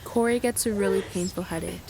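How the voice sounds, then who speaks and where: A woman's voice: medium pitch, brisk, vocal fry, a crowd in the background, close to an everyday voice. A woman reading scripted narration for a radio play, announcing stage directions in short, terse phrases.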